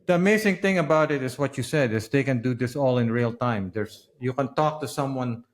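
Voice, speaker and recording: Halting male podcast host, mid-pitched. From Philippines. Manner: halting, repeats phrase openings. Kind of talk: casual interview chat.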